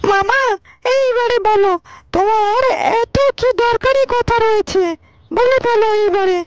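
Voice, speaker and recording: A woman voicing a warm, fussing young village woman in a cartoon, repeating herself eagerly. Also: very high-pitched, a little slow, muffled recording. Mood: reassuring. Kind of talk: Bengali cartoon character dialogue.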